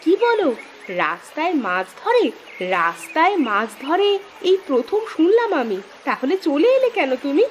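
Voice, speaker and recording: A woman voicing a lively, kindly village housewife in a moral-tale cartoon, animated and exclaiming. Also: high voice, normal speed, slight background noise. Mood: amazed. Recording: music with strings behind the voice.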